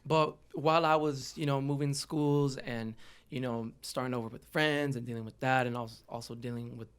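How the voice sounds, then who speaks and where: A man's voice: mid-range voice, steady tone, moderate pace, clear, resonant tone. A man from the Los Angeles area telling his own story as a podcast guest in a casual, slangy way. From Los Angeles area, United States. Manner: informal, slangy. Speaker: podcast guest, hip-hop artist.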